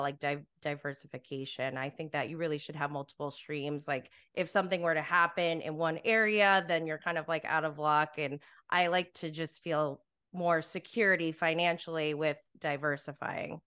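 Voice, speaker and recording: An eager woman host with a bright, clear voice chats warmly with her guest and agrees often. She sounds crisp and smooth, with a bright, forward tone. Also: lowish voice. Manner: enthusiastic, affirming, laughs often. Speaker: podcast host. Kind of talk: casual podcast interview.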